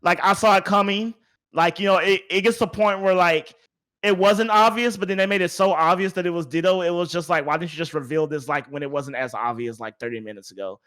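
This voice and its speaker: A man's voice: high voice, brisk, fairly bright tone. A man hosting a podcast in casual, opinionated chat, speaking emphatically and repeating words for emphasis. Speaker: podcast host.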